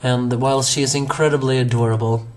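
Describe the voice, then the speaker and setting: A hoarse young man reviewing an anime episode on his own, rambling with many fillers, restarts and self-corrections. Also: medium pitch, steady tone, fast, darkish tone.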